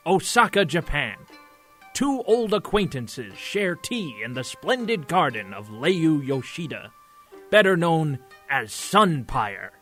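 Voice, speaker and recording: A man's voice: a little high, sing-song, slow, a bit bright, soft background music. A man narrating an audio drama in a theatrical, florid storytelling style.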